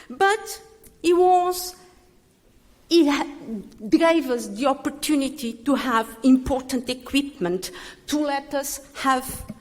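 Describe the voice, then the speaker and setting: Older woman in a reverberant hall. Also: on the high side. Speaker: speaker giving a tribute. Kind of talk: commemorative speech. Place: large reverberant hall, live speech.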